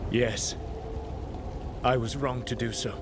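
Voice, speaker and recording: An actor playing a solemn, remorseful and proud ancient mage in a video game, speaking in measured, formal phrases. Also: male voice, mid-range voice, steady tone, noisy background, lightly acted. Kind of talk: dramatic video game cutscene acting.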